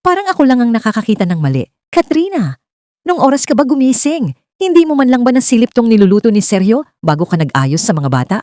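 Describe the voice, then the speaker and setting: A woman voicing a sharp-tongued, bitter older mother-in-law in a dramatic story, scolding and mocking. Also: on the high side, sing-song, quick pace, no echo, pitched above the performer's own voice. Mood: mocking, irritated. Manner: nagging, shouting, mocking.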